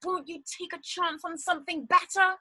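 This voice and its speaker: A woman's voice: very high-pitched, fairly even intonation, brisk, bright, forceful tone. A woman playing a restless, yearning figure desperate to escape, speaking insistently and with passion in a stage play. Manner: insistent, impassioned.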